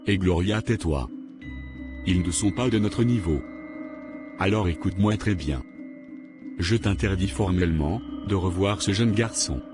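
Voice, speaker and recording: A man playing a stern, snobbish, domineering father in an animated drama, with a commanding manner. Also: sits low, measured pace, pauses often. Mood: angry, forbidding. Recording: clear music behind the voice.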